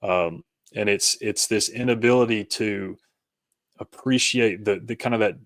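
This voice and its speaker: A man with a slightly low voice talks as a guest in a reflective interview. The sound is close and dry, and he feels his way haltingly toward each phrase, often starting it again. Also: dry recording. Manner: halting, searching for words, repeats phrase starts. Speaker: podcast guest, author.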